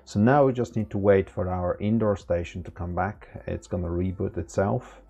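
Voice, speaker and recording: A man in his thirties giving a relaxed step-by-step tech tutorial with lots of fillers, recorded at a desk indoors. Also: sits low, normal speed, gravelly, husky. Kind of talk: casual screen-recorded tutorial. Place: small room indoors.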